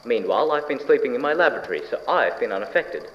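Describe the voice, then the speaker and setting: A man's voice: medium pitch, animated, fast talker, lightly acted. A man playing an eccentric, obsessive scientist in an audio drama, musing aloud to himself with blithe cheer. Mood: eager, puzzled. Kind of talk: audio drama lab log monologue. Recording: dull, distorted tape with clear background noise.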